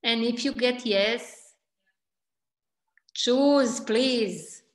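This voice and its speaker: Woman, slow halting english, gentle encouraging manner, roomy video call sound. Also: fairly high pitch. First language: Croatian. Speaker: class organizer and translator.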